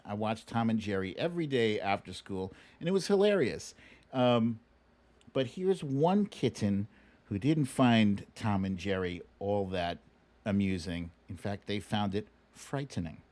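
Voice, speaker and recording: A man hosting a live show with casual, conversational commentary, using playful emphasis and dramatic pauses. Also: on the low side, a little mellow. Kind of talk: casual livestream commentary, reading viewer comments.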